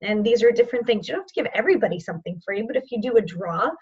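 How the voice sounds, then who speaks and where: A woman training sales representatives in an online session, informal and encouraging, with a voice that is going hoarse. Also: on the high side, animated, very fast, a little mellow, lo-fi sound. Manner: informal and encouraging, checks in with "okay?". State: losing her voice, hoarse.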